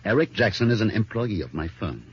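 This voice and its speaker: A wealthy middle-aged husband in an old detective radio drama, full of wounded dignity. His voice is deep and very husky, swinging theatrically through formal, measured phrases. The recording is dull and lo-fi. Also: very animated, slight background noise. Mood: offended, insistent. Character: smooth, defensive older wine merchant husband, wounded dignity.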